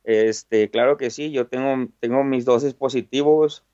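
A man's voice: medium pitch, fairly even intonation, measured pace, mellow, no echo, highs cut off. Easygoing Mexican man, chatty. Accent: Mexican Spanish. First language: Italian-born, raised in Mexico. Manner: friendly, repeats words, frequent fillers. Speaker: interview guest, top game player. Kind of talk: casual interview answers.

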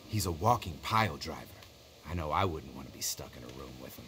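A man's voice: a bass voice, expressive, fast, noisy background. A man with an American accent playing a frustrated, hungry, talkative survivor in a video game. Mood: grumbling, wry.

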